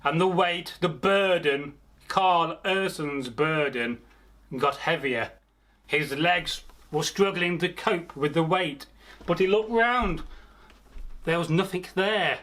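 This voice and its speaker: A man telling a folktale aloud as a storyteller, theatrical, pausing mid-phrase and speaking directly to his listeners. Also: fairly high pitch, fairly bright tone. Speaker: storyteller, channel host. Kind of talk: oral folktale storytelling, improvised.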